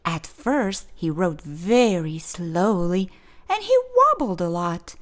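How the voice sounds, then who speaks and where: Female children's storytime reader. Expressive, unhurried delivery with a lively, swooping melody. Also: fairly low pitch. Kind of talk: expressive picture book read-aloud.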